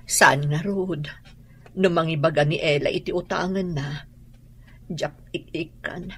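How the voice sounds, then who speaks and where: A woman's voice: low voice, steady tone, a little slow, breathy edge. A woman playing a humbled, regretful middle-aged mother in an Ilocano radio drama, with an Ilocano Filipino accent. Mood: troubled.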